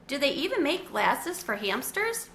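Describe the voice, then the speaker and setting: A woman reading a children's chapter book aloud with expressive, animated storytelling. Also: sits high, measured pace, slight background noise. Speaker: storybook reader. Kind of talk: children's read-aloud narration.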